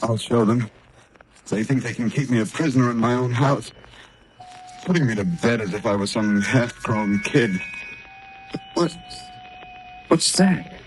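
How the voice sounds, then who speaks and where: A man's voice: medium pitch, measured pace, pauses often, soft background music, close to an everyday voice, rough recording. An actor playing a young man who is dazed under a spell and answers breathlessly in the style of 1930s radio drama. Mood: entranced.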